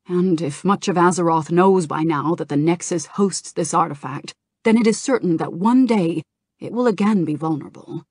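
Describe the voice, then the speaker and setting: A woman reading an audiobook, voicing a troubled young male dragon leader who speaks earnestly and gravely. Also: lowish voice, moderate pace, a little mellow, no echo.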